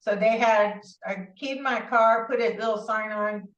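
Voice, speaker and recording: A woman guest telling her story in a rambling, animated way, with many asides and restarts, heard through a remote call. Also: fairly high pitch, measured pace. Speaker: interview guest. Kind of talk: casual conversational storytelling. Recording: distant, roomy, dull-sounding audio.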